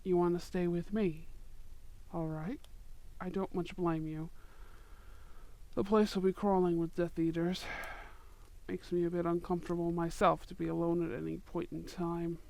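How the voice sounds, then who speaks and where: A man voicing a young male wizard courting his sweetheart in an ASMR roleplay, soft-spoken and affectionate. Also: a little high, little pitch movement, normal speed, creaky. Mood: tender. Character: young male wizard, tender romantic suitor.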